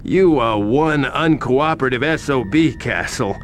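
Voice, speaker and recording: An actor playing a harried, nervous police officer in a video game, hesitant, exasperated and given to swearing. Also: male voice, medium pitch, a little slow, a little hiss or hum, natural voice. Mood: uneasy. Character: harried male police officer, nervous, pleading, foul-mouthed.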